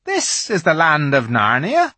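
Reader doing a flustered polite fawn guy, high and breathy, loud and slow, super sing-songy. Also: wildly swooping pitch, dry recording, exaggerated voice. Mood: courteous, eager. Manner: flustered, formal, sing-song. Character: excitable, polite male fawn, fussy and gentle. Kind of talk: audiobook reading.

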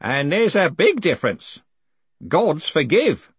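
A man's voice: a little high, sing-song, slow pace, slightly rough, voice pushed up, exaggerated voice. A man voicing a fussy, deferential old postal worker in an audiobook, earnest and insistent. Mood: indignant. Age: older. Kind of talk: audiobook character acting.

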